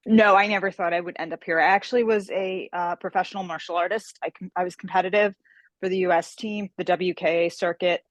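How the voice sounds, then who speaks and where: A woman's voice: fairly low pitch, steady tone, a little fast, no echo. A woman guest talking about her work as a fantasy author, chatty and enthusiastic, on a video call. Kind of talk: casual interview conversation.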